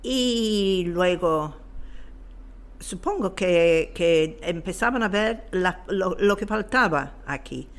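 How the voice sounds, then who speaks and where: A man giving an informal historical explanation as an interview guest, halting and searching for his words. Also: high voice, animated, a bit bright.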